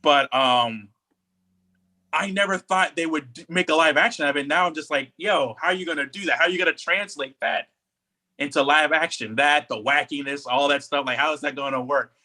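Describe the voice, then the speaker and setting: A man with a bright, forceful voice pitched a bit high, chatting as a podcast co-host. He talks in an opinionated, rambling way and often circles back to repeat a phrase. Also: moderate pace. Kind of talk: casual chat.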